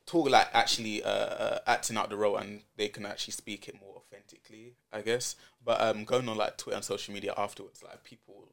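A man's voice: on the low side, little pitch movement, fast, creaky, few pauses, fairly bright tone. A man guesting on a podcast, talking loosely and hesitantly with many fillers. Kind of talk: casual chat.

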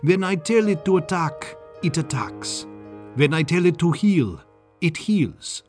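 Guy doing a smug mad scientist for an audiobook, high sing-song voice, condescending and gleefully sinister. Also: expressive, measured pace, a little mellow, faint music behind. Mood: smug, boastful. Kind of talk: audiobook character acting.

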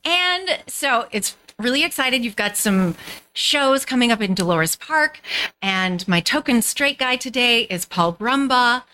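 A woman's voice: fairly high pitch, animated, measured pace, pushed and bright. An American woman hosting a radio show in a studio, chatting casually and interjecting eagerly as she interviews. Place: recording studio.

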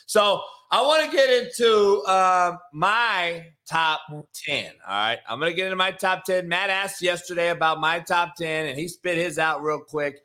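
An American man with a high, forceful voice hosts a sports talk show. He is brash and blunt, debating casually and swearing freely. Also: measured pace, few pauses.

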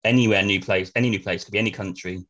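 A man's voice: fairly low pitch, fairly even intonation, very fast, a bit bright. A man hosting a travel podcast, asking questions and chiming in with short, agreeable replies. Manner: brief affirming interjections. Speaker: podcast host. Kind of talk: conversational interview.

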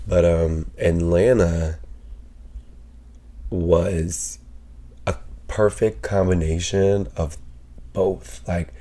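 A man hosting his own podcast, talking casually with a sick, raspy voice, rambling with lots of fillers and swearing. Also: sits low, steady tone, drawn-out words, long pauses, mellow. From Pennsylvania. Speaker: podcast host. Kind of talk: casual solo podcast chat.

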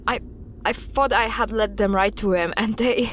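A woman playing a guarded investigator in an audio drama, recounting an unsettling case in a halting, deliberate way. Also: medium pitch, fairly bright tone, a little hiss or hum, natural voice. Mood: troubled. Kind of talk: audio drama dialogue, storytelling.

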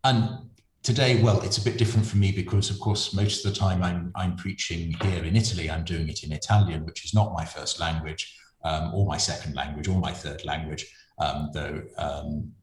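Deep-voiced male preacher, hesitant and thoughtful, echoey room sound. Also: steady tone, normal speed. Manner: hesitant, many ums and self-restarts, thoughtful. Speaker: friar and preacher. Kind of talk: reflective interview conversation.

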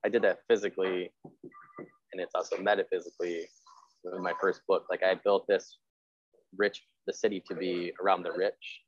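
A man's voice: sits low, level tone, moderate pace, no echo, muffled recording. A man hosting a podcast interview in casual chat, rambling and repeating himself as he goes. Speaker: podcast host and writer.

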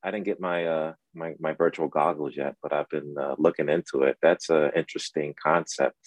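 Very deep-voiced male podcast co-host. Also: fairly quick, no echo. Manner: relaxed, teasing, hesitant with fillers. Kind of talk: casual interview chat.

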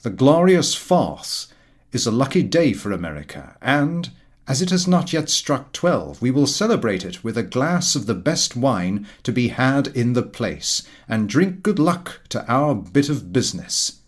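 A man's voice: medium pitch, very animated, unhurried. A man reading an audiobook, voicing a hearty, self-important businessman in a declamatory manner. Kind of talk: audiobook character voice.

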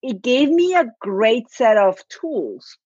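A woman's voice: on the high side, sing-song, slow, a little mellow, breathy edge, no echo. A woman in her forties with an Argentine accent, a guest on an interview show, telling her story with many fillers and small laughs. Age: 40s. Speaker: guest, business coach. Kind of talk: interview conversation. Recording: dry, dull lo-fi audio.

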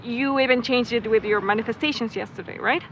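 An actress plays a loyal, teasing young woman in a naturalistic audio drama. Her voice is fairly high and bright, and she talks in a conversational, reassuring way over faint noise. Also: a little fast, lo-fi sound, close to an everyday voice. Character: supportive, teasing young traveller, loyal friend in a van.

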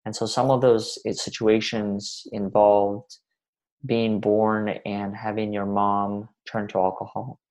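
Man, 20s, from Russia, podcast guest; low, level delivery, earnest and unhurried. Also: level tone, darkish tone, rough recording. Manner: earnest, measured, speaks in long winding sentences. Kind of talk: reflective interview talk.

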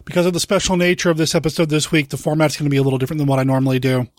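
A man hosting his podcast, opening the show in a measured, earnest way. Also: a little high, level tone, no room sound, studio quality. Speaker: podcast host. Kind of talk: scripted podcast introduction.

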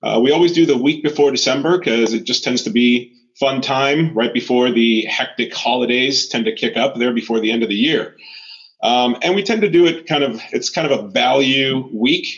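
Relaxed man on a dull line. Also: medium pitch, moderate pace. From Miami, Florida. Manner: relaxed, many fillers. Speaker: podcast guest. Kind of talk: casual interview.

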